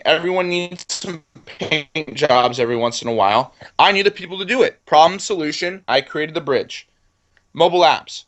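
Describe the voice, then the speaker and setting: A man's voice: a little high, a bit bright. A man in his twenties speaking as an entrepreneur guest in an interview, confident and boastful, driving points home with repeated phrases. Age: 20s. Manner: self-assured, boastful. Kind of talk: conversational business pitch in an interview.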